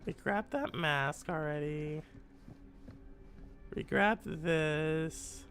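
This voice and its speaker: A streamer with a raspy, congested voice, chatting with viewers and muttering aloud while playing a game live. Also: medium pitch, very slow, a bit bright. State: congested from a cold. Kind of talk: live gameplay stream with chat.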